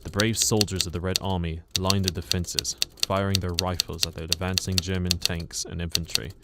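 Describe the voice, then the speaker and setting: A British war reporter, played by a male actor narrates in the first person for a radio play. The voice is low, and the track is very noisy, with typewriter keys clacking. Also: little pitch movement, moderate pace. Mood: grave. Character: British war reporter recounting his frightening wartime experience.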